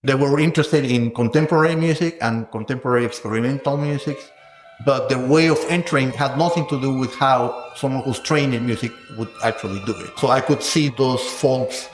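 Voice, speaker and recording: A man's voice: mid-range voice, brisk, over music. Echoey man explaining academic ideas in conversation. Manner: thoughtful, explanatory, tags phrases with "no?" and "right?". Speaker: sound scholar, interviewed guest. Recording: echoey room.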